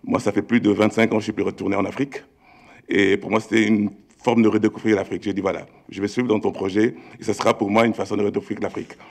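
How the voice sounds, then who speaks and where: Male panelist, middle-aged, lowish creaky voice, informal storytelling to present his company. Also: flat delivery, fast talker. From Congo-born, raised in Belgium. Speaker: panelist, tech entrepreneur.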